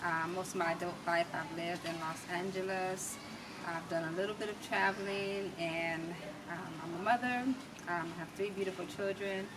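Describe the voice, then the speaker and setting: A woman's voice: lowish voice, fairly even intonation, normal speed. An American woman from California speaking conversationally as an interview guest about her own views and experience. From California, United States. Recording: distant mic with clear background noise.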